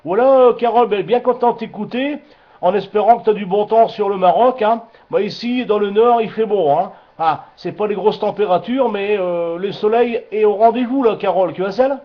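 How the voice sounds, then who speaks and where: A man's voice: high-pitched, moderate pace. A man chatting casually as an amateur radio operator, repeating himself and using radio jargon over a radio link. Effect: radio transmission. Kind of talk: casual radio contact chat. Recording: dull lo-fi radio sound.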